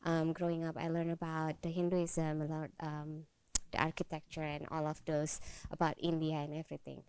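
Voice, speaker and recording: A woman from Indonesia who works as a travel content creator, giving an earnest, halting comment to a panel. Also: low voice, monotone, darkish tone, a little hiss or hum. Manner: earnest, halting, searching for words.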